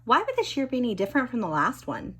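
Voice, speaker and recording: Woman voicing an expressive young schoolboy, animated pitch. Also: quick pace, breathy edge, exaggerated voice. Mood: grumbling. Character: young schoolboy, easily bored, earnest and pleading.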